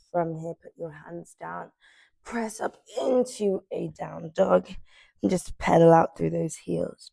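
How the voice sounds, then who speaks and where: A woman in her twenties guiding a morning stretching class, cueing softly and unhurriedly as she moves. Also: on the low side, gravelly, husky, no echo. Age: 20s. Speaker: yoga instructor. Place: sunlit living room with large windows.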